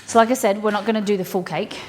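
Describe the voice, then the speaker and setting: A woman's voice: medium pitch, fairly even intonation, quick pace, a little mellow, slight background noise. A woman hosting a live stream, chatting casually and reading trivia clues aloud to her viewers while baking in her kitchen. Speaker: streamer host.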